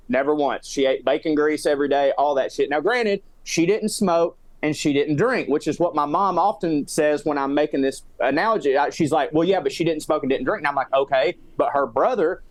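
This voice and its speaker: Southern US male podcast co-host, slightly high voice, profane and self-deprecating rambler, close dry recording. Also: dry recording. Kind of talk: casual chat, personal anecdotes.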